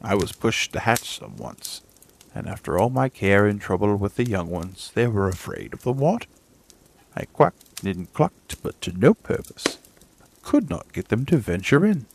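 A man's voice: on the low side, big pitch swings, moderate pace, gravelly, a little hiss or hum. A man doing a bossy old duck. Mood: knowing.

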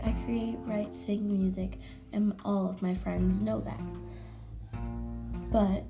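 A young woman hosting her own podcast, chatting casually and rambling with self-corrections, her voice hoarse from allergies. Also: mid-range voice. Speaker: podcast host. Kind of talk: casual solo podcast chat.

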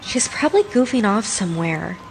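A woman's voice: medium pitch, sing-song, a little fast, gravelly, soft background music. A woman playing a hot-tempered, sarcastic teenage girl guardian in an anime fan dub, blunt and scolding. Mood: sceptical. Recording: reverberant, faint noise and ambience.

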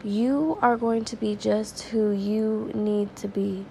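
Woman podcast preacher, stuffy and raspy from crying; gravelly, slow, earnest delivery. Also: medium pitch, little pitch movement, mellow, slight background noise. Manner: earnest, conversational, slow and prayerful. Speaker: devotional podcast host. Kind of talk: informal devotional talk and prayer.

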